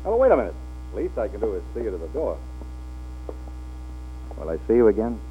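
An actor playing a hard-drinking, flirtatious male film star in a 1940s radio drama, halting and repeating his words. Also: lowish voice, sing-song. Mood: playful, persuasive. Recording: old 1940s radio, tinny with hum.

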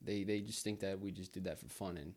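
Young male podcast host raised in Brazil, somewhat low voice, casual, stumbling restarts. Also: steady tone, darkish tone. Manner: halting, repeats words, upbeat questioning. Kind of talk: casual interview chat.

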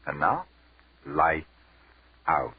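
A man announcing in solemn, measured 1940s radio style. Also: rumbling low, big pitch swings, husky. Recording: tinny 1940s radio broadcast with hiss.

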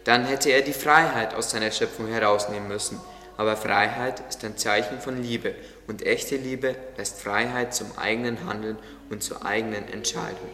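A devout gardener played by a man in a radio play, solemn, fond and preaching. His forceful, bright voice carries in a long reverb with music underneath. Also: lowish voice, steady tone, normal speed. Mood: grave. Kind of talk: religious radio play acting.

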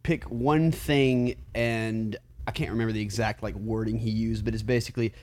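A man's voice: lowish voice, steady tone, moderate pace, darkish tone. A man in his late twenties, a musician, talking in an earnest, reflective way with lots of fillers in a casual podcast chat. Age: 20s.

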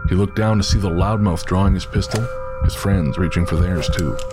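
A man narrating a scripted horror audio drama in a measured, steady way. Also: deep voice. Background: music.

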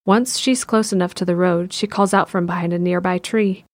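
A woman reading scripted narration for an audio drama in an even, descriptive way. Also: fairly low pitch, normal speed, no echo.

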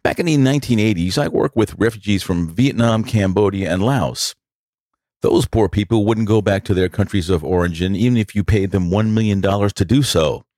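A man's voice: on the low side, normal speed, a little mellow, no room sound, studio quality. An older man with a New York accent, hosting a podcast and giving blunt, sarcastic opinion commentary. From New York City. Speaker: podcast host.

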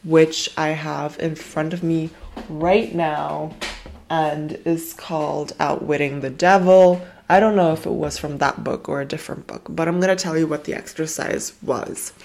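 A woman's voice: sits low, fairly even intonation, unhurried, vocal fry. A woman hosting a self-help podcast, talking casually and affectionately with fillers, while she has a cold. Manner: gentle, affectionate, rambling with fillers. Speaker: podcast host. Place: home in Germany.

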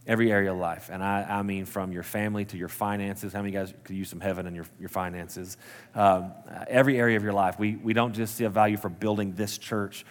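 Earnest pastor, low voice. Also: male voice, steady tone, fast, big-room sound. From Texas. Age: 30s. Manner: conversational, self-correcting, earnest. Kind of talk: sermon. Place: church sanctuary.

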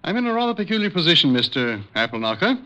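Formal radio-drama doctor, mid pitch, bright firm tone, precise phrasing, dull vintage recording. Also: male voice, sing-song, fast. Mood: dryly amused. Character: educated, patient, dryly amused male psychiatrist. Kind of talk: 1950s radio drama acting.

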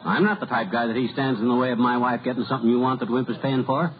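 A man's voice: mid-range voice, fairly even intonation, fast, close to an everyday voice. A middle-aged man playing an irritable, folksy husband out shopping in a vintage radio comedy, blustering and grumbling in slang. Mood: folksy, eager. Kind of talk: 1950s radio comedy acting. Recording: noisy lo-fi vintage radio recording.

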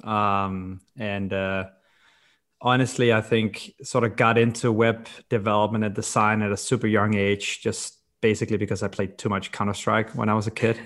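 Casting: man, 30s, from Denmark, fairly low voice, informal founder explaining things in a conversational interview. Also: flat delivery, normal speed, fairly bright tone. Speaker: podcast guest, company co-founder and CEO.

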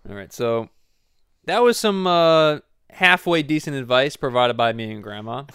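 A man's voice: mid-range voice, expressive, moderate pace, fairly bright tone, clear, resonant tone, no room sound, clean studio sound. A young man hosting a casual podcast, teasing and playfully questioning his grandmother. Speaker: podcast host.

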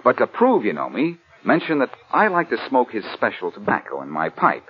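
An actor playing a wry, quick-witted, confident rogue detective in an American radio crime drama of the 1940s. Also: male voice, mid-range voice, wildly swooping pitch, husky. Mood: scheming. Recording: muffled old radio recording.

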